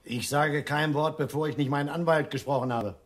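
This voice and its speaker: An actor playing a defiant career criminal under questioning in a German radio crime drama. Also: male voice, on the high side, fairly even intonation, brisk, close to an everyday voice.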